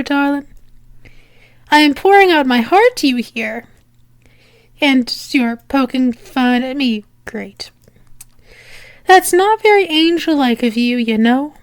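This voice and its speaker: A teasing, lovestruck demoness, acted by a woman in an ASMR roleplay, with a high-pitched voice. She talks slowly and haltingly to her beloved, in a very dry, close recording. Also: animated, no room sound. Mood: mock annoyed. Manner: hesitant, halting, teasing endearments. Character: teasing, lovestruck female demon confessing to angel lover. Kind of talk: intimate ASMR roleplay acting.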